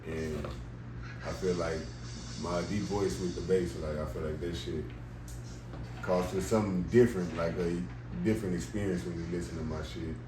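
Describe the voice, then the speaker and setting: A young man rapper, the guest, talking in a casual, slangy, laid-back way with a deep voice and lots of "you know what I'm saying.". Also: fairly even intonation, normal speed, music in the background. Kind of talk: casual interview chat. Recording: echoey room, distant mic, background noise.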